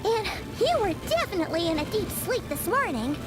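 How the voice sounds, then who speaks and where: A tiny female game fairy with an extremely high, squeaky, childlike voice. She chatters in a very animated, swooping way, loyal and excitable, over clearly audible music. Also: brisk. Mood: puzzled. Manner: refers to herself in the third person, animated. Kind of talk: video game voice acting.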